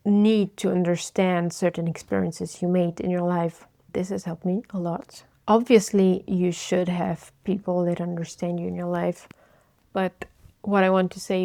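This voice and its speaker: A woman in her thirties, originally from Bulgaria, giving a thoughtful personal life update and often pausing to search for words. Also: lowish voice, creaky, a little mellow, no echo, clean studio sound. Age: 30s. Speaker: vlogger.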